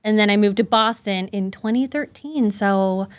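A woman in her thirties, raised in California, speaking as a podcast guest in an upbeat, friendly way. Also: medium pitch. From Taiwan, raised in California. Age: 30s. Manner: upbeat, friendly, quick to laugh. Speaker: physical therapist guest. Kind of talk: casual interview chat.

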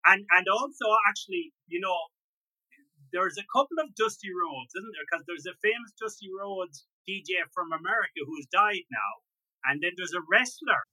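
A man's voice: high-pitched, lively intonation, normal speed, bright, forceful tone, poor audio. A man hosting an interview, asking long rambling questions full of fillers. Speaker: interview host. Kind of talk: casual interview chat.

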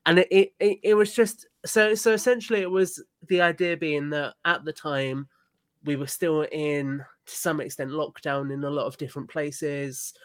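A man's voice: a little high, no room sound. A man chatting casually and earnestly as a podcast guest, effusive in how he talks.

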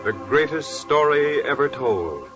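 A man's voice: a little high, sing-song, measured pace. A man narrating as a radio announcer in a solemn, formal 1940s style. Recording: dull, muffled 1940s radio recording. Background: prominent music.

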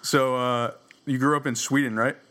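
A man's voice: mid-range voice, little pitch movement, normal speed, a loud crowd. A man hosting a podcast and interviewing a guest in a casual, chatty way.

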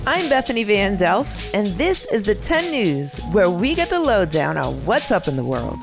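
Woman news host, animated read over light music, scripted and crisp. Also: medium pitch, moderate pace.

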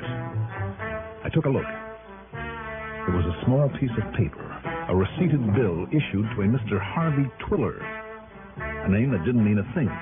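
A man acting a wry, self-assured American investigator who tells his case in brisk hard-boiled 1960s radio drama style. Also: lowish voice, natural voice. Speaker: lead actor and narrator of a radio detective serial. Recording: tinny early-1960s radio recording with music.

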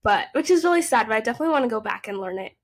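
A nineteen-year-old woman who grew up in the United States talks as a podcast guest. Her voice sits a little high. She tells her stories in a chatty, upbeat way. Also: lively intonation, racing. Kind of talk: casual interview.

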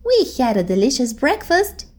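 Little girl voice, shy and stubborn, high and bouncy, a woman putting it on. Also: big pitch swings, moderate pace, darkish tone. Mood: telling. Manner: pleading, childlike. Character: small shy stubborn girl, kindergarten age.

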